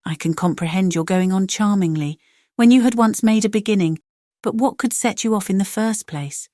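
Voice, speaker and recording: A woman's voice: medium pitch, moderate pace, mellow, no room sound, studio quality, natural voice. A woman voicing a playful, teasing, spirited young lady in a classic novel audiobook.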